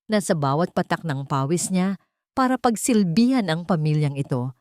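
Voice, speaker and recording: Casting: woman narrator for dramatic audio fiction, slightly low register, emotive scripted delivery, dry studio-like sound. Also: animated, moderate pace, darkish tone, dry recording.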